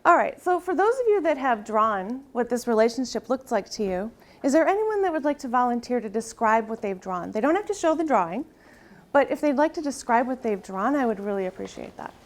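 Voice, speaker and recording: A soft-spoken middle-aged woman giving a conference presentation, leading an audience exercise and asking "right?" often. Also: on the high side, sing-song, brisk. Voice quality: soft-spoken, tries to project. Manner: uses frequent rhetorical "right?" tags.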